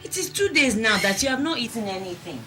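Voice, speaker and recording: A woman's voice: a little high, sing-song, fast, over music. A woman playing a pleading, devoted wife. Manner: pleading and soothing. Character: devoted wife caring for her sick husband.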